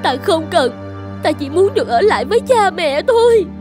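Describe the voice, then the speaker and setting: Sorrowful young cartoon heroine, plaintive, very high pitch, fairy-tale dub. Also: female voice, big pitch swings, normal speed, music in the background. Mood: distraught, pleading. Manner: plaintive, lamenting. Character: wronged, sorrowful young daughter and new mother. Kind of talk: animated fairy-tale dubbing.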